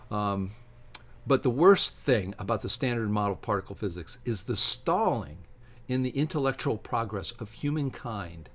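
An older man reads aloud from a book and adds informal, emphatic asides as a video host. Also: on the low side. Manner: emphatic, animated delivery with asides. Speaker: video host and science commentator. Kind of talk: informal commentary while reading aloud from a book. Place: indoors, home study with bookshelves.

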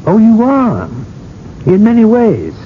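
Radio play role: elderly cardinal, measured, persistent, wryly needling, on a dull vintage broadcast. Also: male voice, huge pitch swings, exaggerated voice. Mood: grave, admonishing. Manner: measured, rhetorical, gently needling. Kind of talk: radio drama acting. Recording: muffled vintage radio broadcast, noisy rumble.

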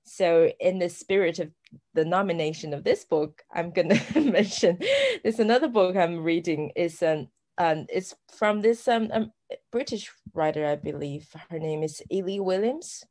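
A woman's voice: fairly low pitch, moderate pace, darkish tone. A female novelist guest talking about her reading, hesitant and searching for words. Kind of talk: casual podcast interview.

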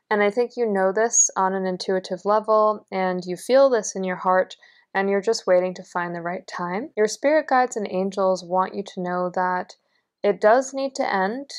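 A young woman giving a tarot reading in an earnest, encouraging way, her voice a little worn. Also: medium pitch. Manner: earnest, encouraging, lots of fillers. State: losing her voice. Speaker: tarot reader. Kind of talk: pick-a-card tarot reading. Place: indoors at a table, only hands seen.

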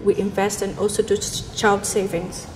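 A woman's voice: mid-range voice, completely flat delivery, moderate pace, noisy background. A young Nigerian woman in her twenties on a blind date, speaking in a blunt, teasing and direct way. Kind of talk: casual conversation. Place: indoor restaurant with large windows. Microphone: lapel mic.

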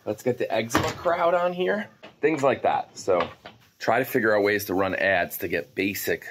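A man's voice: on the low side, background noise. A man in his forties vlogging casually while doing morning chores in a goat barn, rambling to his viewers, filmed on a handheld camera at arm's length. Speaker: farm vlogger. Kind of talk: casual vlog chat. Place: goat barn and farmyard outdoors.

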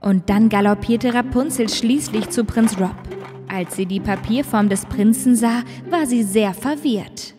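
A woman narrating a children's fairy-tale cartoon in a measured, clear way. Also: a little high, big-room sound, music in the background.

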